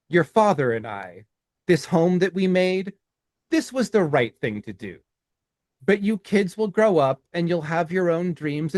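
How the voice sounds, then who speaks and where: A man co-hosting a podcast, reading his own rhyming poem in a measured cadence and then chatting with frequent restarts. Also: sits high, darkish tone, no room sound, clean studio sound. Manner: slow, deliberate, with halting restarts in chat. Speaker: podcast co-host.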